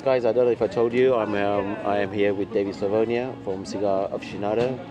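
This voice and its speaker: A man's voice: on the low side, steady tone, quick pace, muffled recording. A middle-aged man hosting a casual, chatty lunch conversation, repeating phrases for emphasis. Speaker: host, cigar retailer. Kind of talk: casual lunch chat on camera. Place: outdoor restaurant table by stone wall. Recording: music behind the talk.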